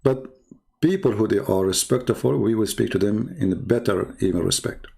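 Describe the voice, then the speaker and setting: Gravelly Arabic-accented man challenging callers. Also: on the low side, normal speed, a little mellow. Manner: challenging, taunting, inviting callers. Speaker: online debate host. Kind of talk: live-streamed religious debate talk.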